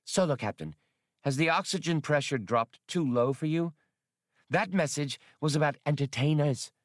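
A man's voice: on the high side, normal speed, no room sound, clean studio sound, pitched above the performer's own voice, lightly acted. A man voicing a proud, fierce female feline alien mother, solemn and formal. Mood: stern.

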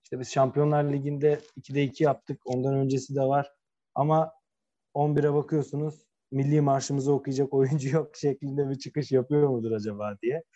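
A man's voice: medium pitch, level tone, normal speed, warm tone. A man co-hosting a football podcast, talking casually and making opinionated points with emphatic repetition. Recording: dull, lo-fi sound.

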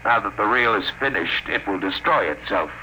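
A man's voice: lowish voice, expressive, moderate pace, bright, forceful tone, rough recording. Piercing, menacing male blackmailer. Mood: cold, threatening. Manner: cold, instructing. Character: menacing male blackmailer giving taped orders. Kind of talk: old-time radio drama acting. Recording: vintage radio broadcast, faint noise.

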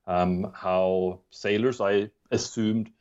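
A man's voice: on the low side, fairly even intonation, slow, a little mellow, clear, resonant tone, muffled recording. A man in his thirties with a mixed Swedish-German accent and English learned later, answering interview questions hesitantly with many ums and restarts. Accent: mixed Swedish, German, British and American. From Sweden and Germany. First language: not English. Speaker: guest author, history professor.

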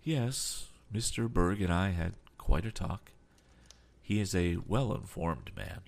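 A man voicing a calm, polite older sea captain who keeps adding apologetic asides. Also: sits low, slow, vocal fry, pitched above the performer's own voice, full character voice.

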